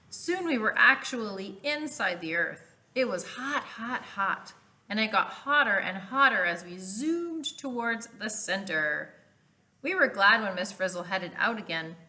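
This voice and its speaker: A woman reading a children's picture book aloud like a teacher, expressively, pausing to explain words. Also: medium pitch, bright, forceful tone.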